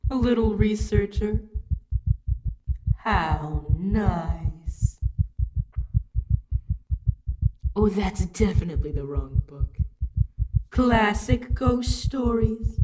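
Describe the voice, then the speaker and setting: A woman's voice: mid-range voice, noisy background. A woman playing a taunting, menacing female spirit with a mocking, theatrical manner in an audio roleplay. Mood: mocking amusement. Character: taunting, playful, menacing female spirit toying with her victim. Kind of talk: narrative audio roleplay acting.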